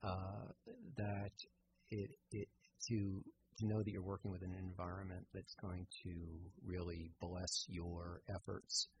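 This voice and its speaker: Male interview guest, deep, fry-laden voice, wordy, reflective, conversational, noise in recording. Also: completely flat delivery, unhurried. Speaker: interview guest, radio theater producer.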